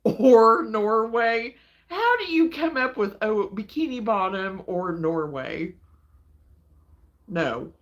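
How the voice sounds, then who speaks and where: Livestream host: woman from Illinois, folksy and chatty, unhurried delivery, laughs easily, home setting. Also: on the high side, animated, highs cut off. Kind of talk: casual chat with viewers.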